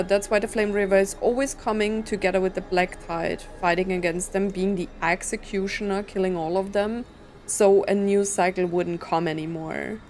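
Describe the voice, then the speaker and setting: A woman's voice: medium pitch, darkish tone, a little hiss or hum. A woman giving casual playthrough commentary on a game, thinking aloud in a musing, tentative way. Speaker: gaming content creator.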